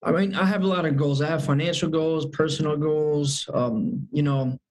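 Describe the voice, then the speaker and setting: Upbeat man in his thirties, slightly high. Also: steady tone, moderate pace, darkish tone, poor audio. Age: 30s. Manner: upbeat, emphatic, repeats phrases for emphasis. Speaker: sales manager guest. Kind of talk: casual interview chat.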